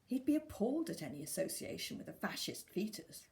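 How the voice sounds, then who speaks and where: A woman reading a scripted first-person literary story in a dry, measured storytelling manner. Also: on the low side, big pitch swings, creaky, warm tone. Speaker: story reader.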